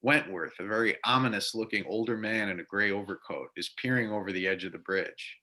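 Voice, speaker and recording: Bright, forceful male narrator. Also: lowish voice, brisk. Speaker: narrator reading stage directions. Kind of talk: scripted narration.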